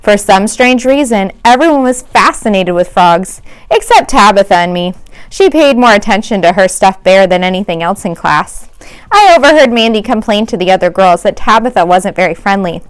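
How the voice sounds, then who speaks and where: A woman reads a children's book aloud like a teacher at storytime. Her voice sits at a middle pitch, and her delivery is expressive. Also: moderate pace, smooth. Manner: expressive, acts out dialogue.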